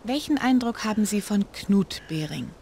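A woman's voice: mid-range voice, expressive, moderate pace, mellow, slight background noise, natural voice. An actress voicing an inquisitive teenage girl detective in a video game. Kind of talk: video game character dialogue.

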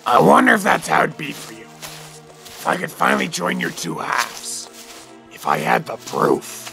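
A man voicing a scheming, scarred male villain with a gravelly, menacing way of talking in a fan radio drama. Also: fairly high pitch, huge pitch swings, pushed and bright, faint music behind, exaggerated voice. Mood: brooding. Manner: menacing, drawn-out taunting. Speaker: voice actor.

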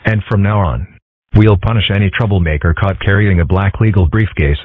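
Low, husky deadpan man as cartoon animal. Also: normal speed, no room sound. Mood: stern. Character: deadpan male cartoon animals in a comic strip. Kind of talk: comic strip dub. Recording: muffled, narrow phone-like sound.

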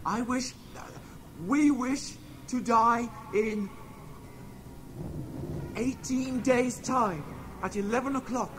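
A man's voice: extremely high pitch, slow, long pauses. An actor playing a polite, earnest young male adventurer in a radio drama, respectful and composed as he pleads. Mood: courteous, composed. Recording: noisy radio drama soundscape.

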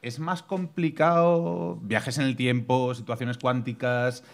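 A man in his thirties hosts a live podcast a small bar stage. He talks with eager enthusiasm into a desk mic, often stopping and starting over mid-sentence. His voice sits at an ordinary middle pitch. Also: steady tone, creaky. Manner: enthusiastic, halting, many restarts. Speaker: podcast host. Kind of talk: casual chat.

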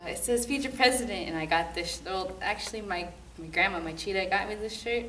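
A woman's voice: mid-range voice, brisk, fairly bright tone, slight background noise. A young woman answering interview questions in a casual, chatty way. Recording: echoey room, distant mic.

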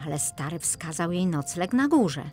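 A woman's voice: fairly low pitch, big pitch swings, normal speed, darkish tone, soft background music. A woman reading a children's fairy tale as a scripted audiobook narration.

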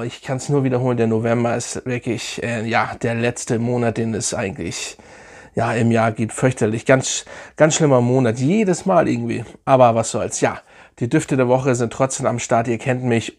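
A man in his thirties sits in his living room and reviews fragrances in a casual, chatty way. His voice is a little hoarse from a sore throat, and he lingers on the words he wants to stress. He sounds keen and talks straight to the camera. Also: mid-range voice, a little mellow. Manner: enthusiastic, chatty, drawn-out emphatic phrases. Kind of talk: casual product review vlog. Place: living room, seated indoors.

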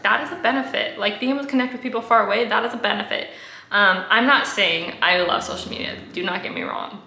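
Young woman content creator, casual candid talk to camera, bright tone, roomy home sound. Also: mid-range voice. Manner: chatty and candid, with frequent ums and likes. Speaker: food photographer and content creator. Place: home room, indoors.

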